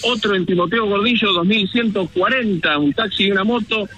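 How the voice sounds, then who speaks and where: An Argentine man delivers a traffic bulletin on the radio. His voice sits high for a man and has a bright, forceful edge. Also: fairly quick, low-quality recording. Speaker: traffic reporter.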